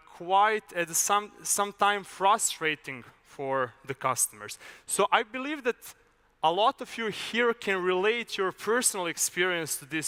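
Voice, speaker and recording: A young man from Serbia, giving a measured, explanatory conference talk with a few filler uhs. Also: high voice, pushed and bright. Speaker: conference speaker. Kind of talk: conference presentation. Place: large conference hall. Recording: reverberant hall.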